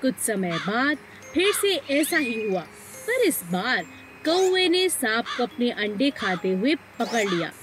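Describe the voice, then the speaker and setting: High-voiced, animated woman telling stories. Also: slow pace, background noise. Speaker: storyteller. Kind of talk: scripted children's moral story narration.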